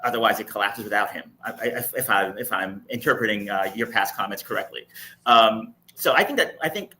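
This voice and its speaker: A man talking conversationally as a panelist on a live show, earnest and a little halting as he repeats words. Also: on the low side, sharp tone.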